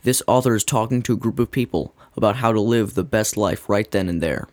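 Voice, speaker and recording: A man reading a scripted devotional in a measured, earnest way. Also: on the low side, darkish tone, no room sound. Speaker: devotional reader.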